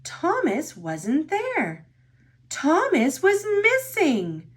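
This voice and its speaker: A high-voiced woman narrates a children's picture book. She takes her time over each phrase and swings her pitch expressively. The delivery is dramatic and shaped for small children. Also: huge pitch swings. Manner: dramatic, deliberate phrasing for young listeners. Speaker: storybook reader.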